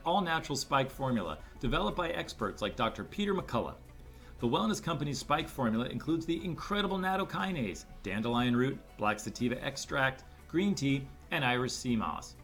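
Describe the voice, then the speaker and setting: A man's voice: on the high side, gravelly, fairly bright tone. Earnest male ad announcer. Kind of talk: scripted ad read.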